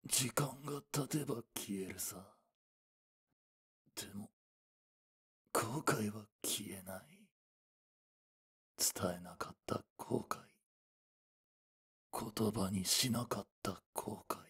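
A man playing a calm, knowing old fortune teller in a voice drama, hushed and breathy, with grave old-man phrasing. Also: on the low side, extremely slow, pauses often, exaggerated voice. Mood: grave, probing. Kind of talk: Japanese voice drama acting.

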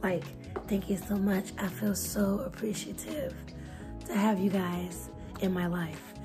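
A young woman chatting to her phone camera at her desk in a casual vlog. She is hoarse, her voice nearly gone, and talks in a chatty, expressive way. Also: mid-range voice, unhurried. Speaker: vlogger. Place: bedroom at a desk, indoors. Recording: music playing under the voice. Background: lofi music bed.

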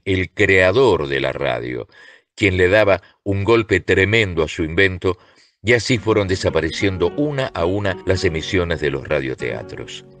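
A man's voice: sits low, fairly bright tone. A man reading scripted documentary narration.

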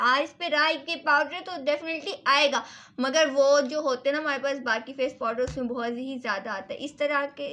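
A woman's voice: high-pitched, pushed and bright. A woman casually reviewing and demonstrating products as a beauty vlogger, instructive and repetitive, mixing in English words. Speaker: beauty vlogger and salon owner.

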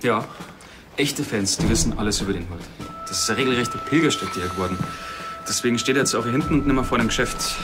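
An actor playing a low-key hat shop assistant. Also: male voice, quick pace, over loud music, natural voice. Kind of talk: naturalistic TV drama acting.

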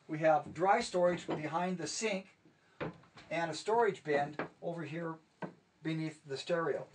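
A man's voice: fairly high pitch, recorded from a distance, noisy background. Man giving a methodical boat tour. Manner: steady, methodical listing of equipment. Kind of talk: walkthrough tour, instructional. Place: inside a small sailboat cabin.

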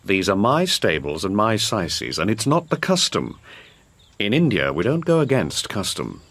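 Lowish-voiced, practical stable trainer. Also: male voice, big pitch swings, normal speed, a bit bright, dry recording, heavily acted. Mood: firm. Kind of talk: radio drama acting. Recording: clear background ambience noise.

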